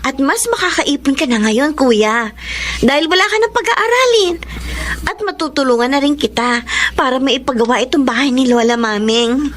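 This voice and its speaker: A caring young sister in a radio drama. Her voice is very high and full of hope, with slight background noise. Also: animated, normal speed, a bit bright. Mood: hopeful, caring. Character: caring, hopeful young adult younger sister, newly employed caregiver. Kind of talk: Tagalog radio drama acting.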